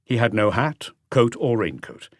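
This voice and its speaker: A man reading scripted literary narration for an audiobook in a measured, restrained manner. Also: mid-range voice, very animated, no room sound, clean studio sound.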